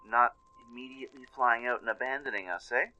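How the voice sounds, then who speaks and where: A man voicing a menacing, grumbling male griffin in a forced, grating, gravelly voice for an audio drama. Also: mid-range voice, a little fast, sharp tone, lo-fi sound, pitched above the performer's own voice.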